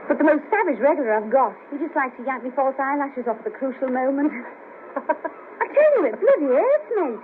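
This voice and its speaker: Brash, high-voiced Liverpool sex worker, muffled tape. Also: female voice, big pitch swings, moderate pace. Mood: matter-of-fact, bawdy. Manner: blunt, cheeky, matter-of-fact. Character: brash, bawdy, streetwise Liverpool sex worker, wryly guarded. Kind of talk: 1970s radio play acting. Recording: patchy hissy cassette of 1970s radio broadcast.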